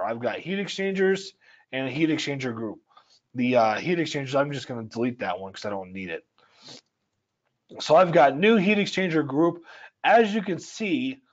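A man leads a screen-share software tutorial in a relaxed, explanatory way, with plenty of "uh"s and "okay?"s along the way. His voice is dry and a touch scratchy, and it sits at an ordinary middle pitch. The sound is a little dull, like webinar audio. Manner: explanatory, conversational, with frequent fillers. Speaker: software trainer. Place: home or office at altitude.

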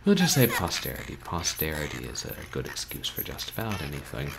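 A man hosts a casual livestream in a very low voice that swings around in lively fashion. He is wry and self-deprecating and likes wordy turns of phrase. The recording is a home stream with clear background noise. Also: brisk. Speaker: livestream host, video game streamer. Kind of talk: casual livestream chat to viewers. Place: small bedroom, indoors. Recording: noisy home webcam stream.

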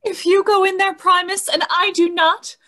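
Young robotess for radio play, played by a woman: very high-pitched, timid, devoted. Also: little pitch movement, moderate pace, bright, forceful tone. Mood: timid pleading. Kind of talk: 1930s radio drama acting. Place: indoors on a video call.

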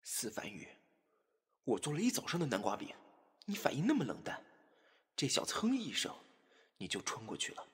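A man's voice: medium pitch, big pitch swings, moderate pace, long pauses, no echo, studio quality, lightly acted. A man playing a jealous young ex-boyfriend with insincere sweetness and a pointed, theatrical delivery in an audio drama. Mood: bitter, jealous. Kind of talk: Chinese audio drama acting.

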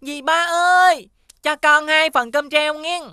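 A woman's voice: sits high, fairly even intonation, slow, sharp tone, no room sound, clean studio sound, heavily acted. A woman voicing an eager little schoolboy in a children's cartoon, with childlike, exclamatory phrasing. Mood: excited.

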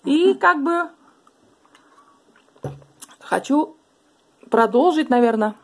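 A woman's voice: high-pitched, animated, drawn-out words. A middle-aged woman hosting a casual, chatty interview at a friend's home, with an affectionate way of talking, recorded on a camera across the table. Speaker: vlogger host. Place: small living room at home.

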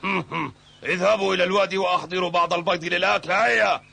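A man's voice: high-pitched, fairly even intonation, fast talker, vocal fry. An actor voicing an eccentric old male kung fu master who gives cryptic orders in a cartoon dub. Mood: instructing. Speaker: kung fu coach.

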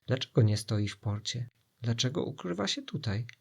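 Guy as a sneaky flustered stowaway muttering to himself, creaky voice, storybook. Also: medium pitch, sing-song, normal speed, a little mellow, full character voice. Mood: puzzled. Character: puzzled, sneaky Greek stowaway man, easily flustered.

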